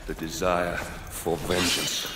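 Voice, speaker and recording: An actor playing a grave, vengeful Roman general in a video game, speaking solemnly and deliberately. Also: male voice, deep voice, little pitch movement, noisy background. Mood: grim, resolute. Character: vengeful Roman general, brooding, grave, battle-hardened man. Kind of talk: cinematic game narration and dialogue. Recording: echoey hall reverb, music behind.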